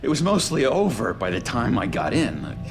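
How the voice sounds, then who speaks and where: A man's voice: mid-range voice, huge pitch swings, normal speed, creaky. An actor playing an overly friendly, unhinged male asylum inmate in a video game, theatrical and chummy. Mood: smooth. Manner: theatrical, chummy, sing-song. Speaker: game character. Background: game score music.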